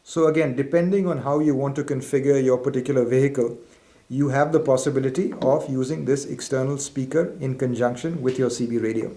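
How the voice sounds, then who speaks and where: A man's voice: mid-range voice, steady tone, brisk, dark tone. A man presenting a product in an instructional demonstration, explaining methodically step by step.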